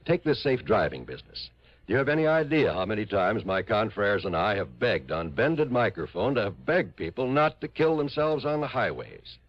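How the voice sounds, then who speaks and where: Male vintage radio announcer, low animated voice, droll, theatrical, dry muffled old recording. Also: normal speed. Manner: theatrical, droll, mock-pleading.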